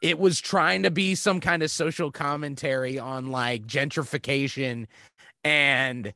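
A man co-hosting a casual podcast, with a mid-pitched voice recorded dry and close. He is blunt and dismissive and swears freely. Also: unhurried, sharp tone, dry recording. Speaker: podcast co-host. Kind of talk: casual chat.

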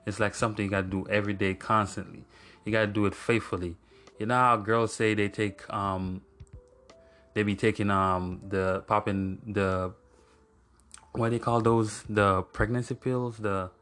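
A man's voice: fairly low pitch, a bit bright. A young man from Jamaica hosting his own podcast, talking casually and rambling with fillers and self-corrections. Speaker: podcast host. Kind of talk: casual solo podcast monologue.

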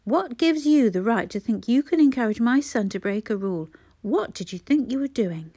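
A woman's voice: fairly high pitch, big pitch swings, a little slow, dark tone, no room sound, full character voice. A woman voicing a frantic, protective young mother who speaks urgently, in a storybook reading. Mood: angry. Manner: urgent, pleading, angry.

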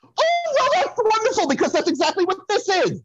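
A performer playing a flamboyant, gushing, scatterbrained celebrity psychic in a comic audio play, rambling and repeating phrases with theatrical drawn-out words. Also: extremely high pitch, wildly swooping pitch, lo-fi sound, exaggerated voice. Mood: gushing, fussy. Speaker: podcast guest playing a psychic. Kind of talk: improvised comic audio play, podcast spoof.

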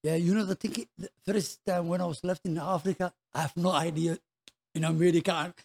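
A man from Somalia, around his thirties, with a Somali accent, telling his story casually as an interview guest in halting, repetitive non-native English. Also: a little high, fairly even intonation, gravelly, darkish tone.

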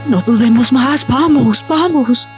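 A woman's voice: on the high side, slow, darkish tone. An actress playing a humble, protective and anguished mother in a radio drama. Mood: urgent, protective. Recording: dull muffled sound, music behind.

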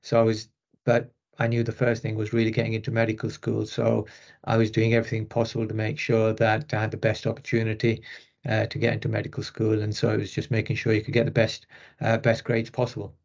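A man's voice: on the low side, completely flat delivery, fast talker, vocal fry, a little mellow, highs cut off. A middle-aged man from Rochdale with a Northern English accent, answering interview questions conversationally. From Rochdale, northern England. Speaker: interview guest, sports medicine consultant.

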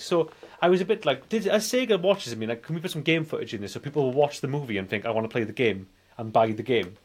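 Guy in his 40s on a movie podcast, kinda husky, rambles and repeats himself, casual chat. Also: fairly high pitch. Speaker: podcast co-host. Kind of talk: casual film review chat.

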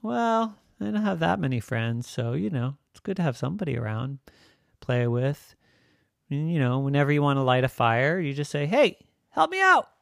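A storyteller voicing a calm, matter-of-fact young boy who talks in a shrugging, playful way. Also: medium pitch, moderate pace, creaky, dry recording, clean studio sound. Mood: offhand.

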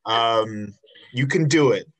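A man's voice: medium pitch, takes it slowly, background noise. A man in his twenties hosting a casual interview, laid-back and loose, with lots of fillers and casual swearing. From Chicago south suburbs, USA. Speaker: podcast host.

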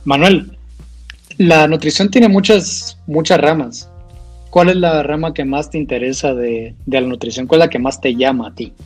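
Husky Guatemalan man hosting an interview. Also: fairly high pitch, normal speed, faint music behind. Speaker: host, nutritionist. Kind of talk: conversational interview.

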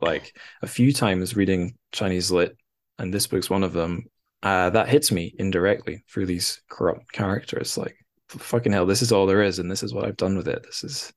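Low-voiced man hosting a reflective book chat. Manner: hesitant, reflective, searching for words. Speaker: podcast host. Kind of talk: casual book discussion.

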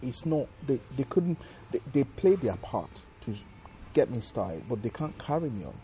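A man with a Nigerian accent and Yoruba as his mother tongue, a comedian telling stories in an animated, emphatic way during a podcast interview. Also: mid-range voice, moderate pace, faint music behind. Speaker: guest comedian.

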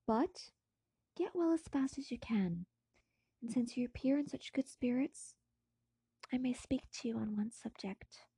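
A woman's voice: on the high side, animated, a little slow, long pauses, mellow, breathy edge, dry recording, voice pushed up, heavily acted. A woman voicing a caring young male friend in a storybook, soothing and formal. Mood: gentle, encouraging.

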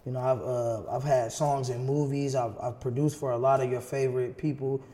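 Mellow thirties man in a reverberant room. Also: medium pitch, fairly even intonation, fairly quick. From South Bend, Indiana, United States. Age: 30s. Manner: slangy, loose, frequent "you know". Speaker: podcast guest, rapper and music producer. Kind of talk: casual chat.

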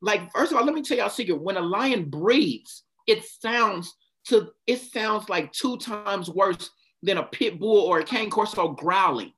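A man's voice: high voice, expressive, measured pace, a bit bright. A man giving a passionate motivational talk, stopping often to ask his listeners questions. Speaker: speaker leading a group talk.

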